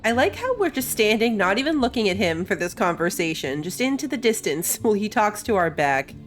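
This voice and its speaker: A woman's voice: mid-range voice, very animated, a little fast, faint music behind. A woman giving casual let's-play commentary, with playful, amused asides. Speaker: gaming video host.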